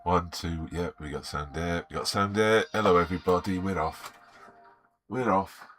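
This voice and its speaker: A middle-aged Welsh man with a slightly croaky, sore throat, chatting easily and greeting viewers by name while he draws on a live stream. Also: deep voice, moderate pace, fairly bright tone, soft background music. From Wales. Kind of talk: relaxed live-stream chat while drawing.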